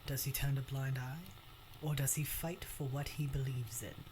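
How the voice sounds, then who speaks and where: A woman's voice: rumbling low, steady tone, moderate pace, background noise. A woman reading scripted, dramatic narration as a trailer narrator.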